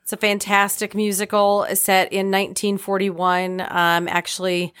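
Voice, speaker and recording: A woman's voice: medium pitch, completely flat delivery, unhurried, a bit bright, clear, resonant tone. An American woman chatting informally and enthusiastically as a guest in an interview about directing. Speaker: guest, community theater director. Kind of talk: casual interview chat.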